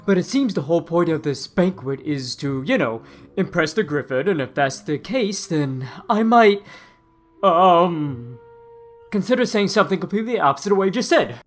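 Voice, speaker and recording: A man's voice: fairly high pitch, expressive, normal speed, faint music behind, natural voice. A man voicing a timid, apologetic young man in a cartoon fan dub, nervous and hesitant. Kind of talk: animated series fan dub.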